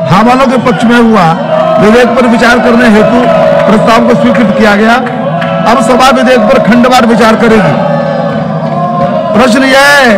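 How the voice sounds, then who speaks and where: A man chairing a parliament session, reading formal procedure in formulaic, drawn-out calls. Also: very high-pitched, rough recording. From India. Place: large indoor legislative chamber. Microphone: desk microphone. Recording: loud shouting crowd in chamber.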